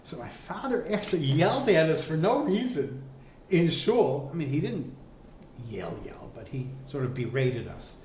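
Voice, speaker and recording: A man's voice: a little high, normal speed, a little hiss or hum. A man recalling family stories in an interview, repeating words as he thinks. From United States. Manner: animated storyteller, repeats words while thinking. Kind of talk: storytelling interview. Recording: echoey room.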